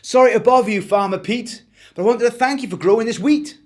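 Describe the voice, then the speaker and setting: A man voicing an excitable, grateful little boy in a children's story, exclaiming exuberantly. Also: extremely high pitch, fast talker, voice pushed up. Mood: eager, earnest.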